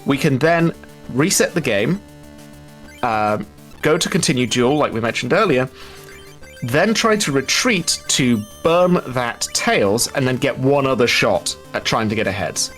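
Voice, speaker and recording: A man giving live gameplay commentary, explaining things step by step with halting pauses. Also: medium pitch, expressive, a bit bright, low-quality recording. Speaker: speedrun commentator. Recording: music behind the voice.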